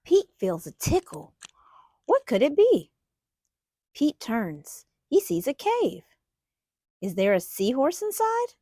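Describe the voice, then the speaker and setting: A woman's voice: sits high, wildly swooping pitch, slow pace, pauses often, dark tone, dry recording, clean studio sound. A woman hosting a children's storytime, reading a picture book aloud expressively and putting questions to young listeners. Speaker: children's storytime host and reader.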